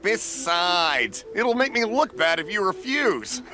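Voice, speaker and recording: A hearty older vendor at a festival in a video game, bright-toned with a lively, swinging delivery. He is chatty and generous and loves to make a fuss over his guests. Soft music plays under him. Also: high-pitched, slow, heavily acted. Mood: jovial. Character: older friendly festival mask seller, hearty. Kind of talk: game voice acting.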